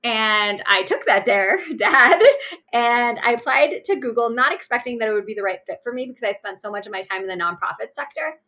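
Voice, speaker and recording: A Pakistan-born woman in her thirties answers interview questions about her working life. Her voice is bright and forward, her delivery candid and chatty, dotted with small fillers. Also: on the high side, animated, fairly quick. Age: 30s. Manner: warm, candid, with light laughs and fillers. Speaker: interview guest, manager trainer. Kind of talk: conversational career storytelling.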